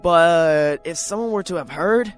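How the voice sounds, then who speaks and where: A man's voice: fairly high pitch, measured pace, faint music behind, close to an everyday voice. A man playing a lonely, neurotic, paranoid writer in an introspective, theatrical radio drama monologue. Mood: brooding, frustrated.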